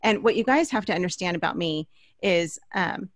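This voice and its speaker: Low-voiced middle-aged woman hosting. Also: animated, moderate pace, no room sound, studio quality. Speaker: podcast host. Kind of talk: conversational interview introduction. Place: small indoor home studio. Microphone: large studio microphone up close.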